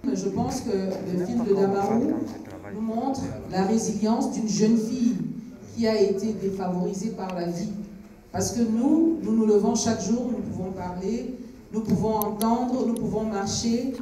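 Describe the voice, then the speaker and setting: A woman's voice: mid-range voice, unhurried, mellow. A Cameroonian woman co-hosting a film club discussion, speaking to a young audience in an engaging, didactic way with questions to the listeners. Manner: engaging, asks questions, switches between French and English. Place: screening hall with audience. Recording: very echoey hall, distant from microphone.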